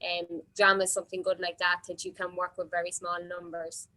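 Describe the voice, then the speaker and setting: A woman's voice: fairly low pitch, completely flat delivery, a little fast, bright, forceful tone, low-quality recording. An Irish woman organiser answering questions informally, explaining things in a hesitant way.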